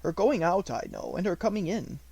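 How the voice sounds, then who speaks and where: A man voicing a devoted, respectful Indian manservant in a storybook, speaking in formal, poetic, measured phrases. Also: high-pitched, sing-song, creaky, warm tone, slightly rough, no echo, voice pushed up, exaggerated voice. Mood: reverent, tender.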